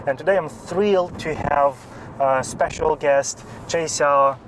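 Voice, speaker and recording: A man's voice: on the high side, unhurried, noisy background. A man hosting an interview show in a conversational way, introducing and questioning as he goes.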